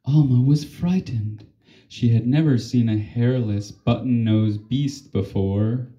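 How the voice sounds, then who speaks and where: A man reads a picture book aloud in a very mellow, dark voice. He takes his time, leaving pauses between short phrases and adding simple explanations. The sound is slightly dull, like a homemade recording. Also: mid-range voice. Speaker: reader of a children's picture book. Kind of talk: expressive storybook read-aloud with asides to a child.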